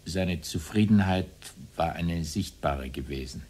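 A man with a low voice testifies from memory. He is formal and deliberate, choosing stiff, official-sounding phrases. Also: darkish tone. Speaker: witness under questioning.

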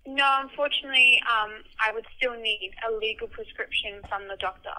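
A woman's voice: on the high side, fairly quick, bright, forceful tone. A woman speaking politely and hesitantly as a pharmacy worker on a customer service call over the telephone. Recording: thin telephone line.

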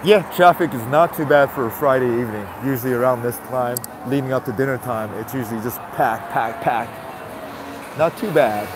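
Stuffy-nosed male vlogger, chatty and relaxed, speaking into a handheld phone on a busy night street with faint music. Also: medium pitch, normal speed. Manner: chatty, repeats greetings, talks to viewers. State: stuffy nose. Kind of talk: casual live-stream walking commentary. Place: city sidewalk outdoors at night. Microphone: handheld phone camera.

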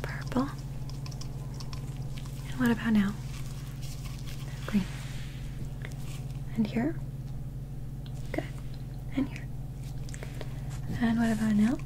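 A young woman whispering gently and unhurriedly in an ASMR medical roleplay, playing an examiner close to the microphone. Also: fairly high pitch, steady tone, very slow, long pauses, noisy background. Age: young adult. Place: small indoor set.

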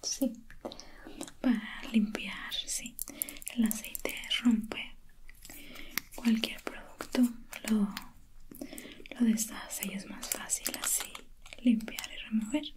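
A woman whispers softly and breathily as a spa facialist in an ASMR roleplay. She takes her time, speaking very slowly in a gentle, reassuring way with affectionate little words. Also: a little high, steady tone, rough recording.

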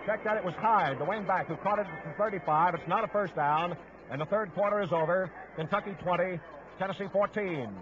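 A male sportscaster with a fairly high voice calls a football game play by play. The sound is a muffled vintage radio broadcast, with clear stadium noise behind him. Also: a little fast. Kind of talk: live radio football commentary. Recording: noisy, muffled old 1950s radio broadcast. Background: outdoor stadium crowd noise.

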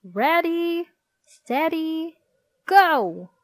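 A stern woman games teacher, performed by a female storyteller, pitched high and barking commands. She is bossy and severe, with forceful swings in every order, as in a children's storybook. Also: very animated, unhurried, dry recording, exaggerated voice. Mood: commanding. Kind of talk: children's audiobook character voice.

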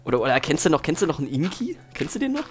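A man's voice: a little high, big pitch swings, very fast, faint music behind. A young man chatting casually during a Let's Play, full of ironic, teasing banter. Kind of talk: casual joking gameplay chat.

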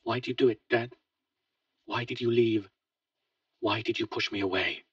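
A man voicing a grieving grown son who speaks quietly and haltingly, with a husky voice. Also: medium pitch, steady tone, no room sound, pitched above the performer's own voice. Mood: pleading. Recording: dull lo-fi, distant mic.

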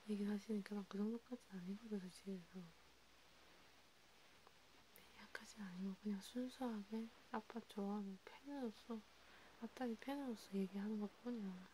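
Hushed, mellow woman in soft ASMR chat. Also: medium pitch, a little hiss or hum, rough recording. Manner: hushed, rambling, intimate one-sided conversation. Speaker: ASMR creator. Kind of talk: soft ASMR roleplay chat, partly whispered.

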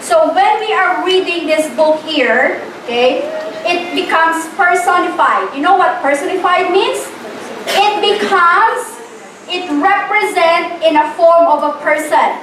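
Very high-pitched woman preaching, echoey. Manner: emphatic, deliberate repetition in short phrases. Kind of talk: informal church sermon with questions to the congregation. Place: church hall with stage, indoors. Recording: roomy echo, distant mic, faint noise.

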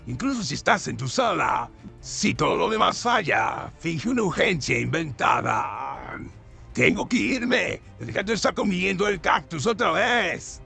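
Gravelly, animated male comedy narrator. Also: a little high. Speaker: narrator of a comedy survival-tips show. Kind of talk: scripted narration, mock survival guide.

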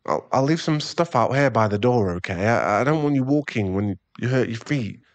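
A man playing a remorseful, tender boyfriend and expectant father in an intimate ASMR roleplay, speaking softly in halting, stammered phrases. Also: medium pitch, lively intonation, dry recording, natural voice. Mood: guilty, pleading. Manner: halting, stammering, soft-spoken apology.

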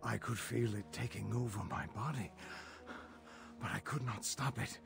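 An actor playing a dying, remorseful royal warrior in a video game, weak, strained and gravelly. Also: male voice, on the low side, normal speed, loud music behind the voice. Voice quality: gravelly, croaking. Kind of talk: dramatic video game acting.

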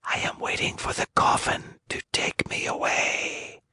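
A frail ghost of a maiden, whispering weakly and slowly. The voice is breathy, lifeless and eerie, fit for a dark fairy tale. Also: male voice. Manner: slow, lifeless.

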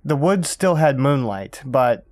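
A man reading a first-person scary camping story aloud in a calm, even storytelling manner. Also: medium pitch, slow, no echo. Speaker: narrator. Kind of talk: scripted horror story narration.

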